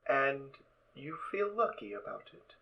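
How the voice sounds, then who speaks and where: A man's voice: medium pitch, big pitch swings, unhurried, vocal fry. A man voicing a polite, hesitant floating sprite robot in a fan audio drama. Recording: dull lo-fi recording.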